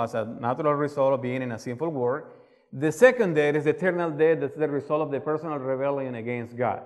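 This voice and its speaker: A man from Colombia with a Colombian Spanish accent, preaching a sermon and reading scripture, earnestly asking his listeners questions. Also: mid-range voice. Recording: long hall reverb.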